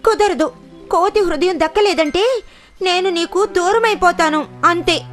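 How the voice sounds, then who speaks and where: A scheming crocodile wife from a kids' cartoon, pitched extremely high. The delivery is theatrical and insistent, with music playing behind it. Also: female voice, measured pace. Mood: demanding, sulky. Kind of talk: children's cartoon voice acting.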